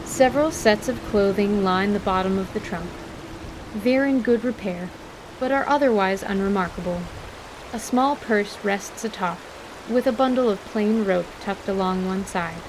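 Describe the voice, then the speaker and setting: A woman's voice: mid-range voice, normal speed, background noise. A woman narrating a scripted fantasy story as narrator, with composed, literary delivery. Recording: steady rain ambience behind voice. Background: rain ambience.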